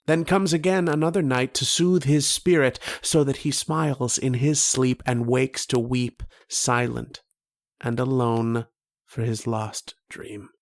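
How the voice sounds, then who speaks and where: Slow, brooding man acting a haunted dreamer. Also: mid-range voice, steady tone, no echo, clean studio sound, natural voice. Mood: yearning, intimate. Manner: slow, poetic, brooding delivery with long pauses. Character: haunted, wistful man narrating his recurring dream. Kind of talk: dramatic first-person radio horror narration.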